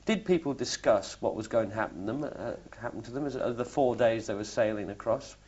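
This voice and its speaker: A male interviewer with an average-pitched voice asks careful, probing follow-up questions, often repeating a word as he begins. The recording is muffled and lo-fi. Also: brisk, a little mellow, breathy edge. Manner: probing, repeats words when starting questions. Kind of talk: oral history interview questions. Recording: dull lo-fi recording.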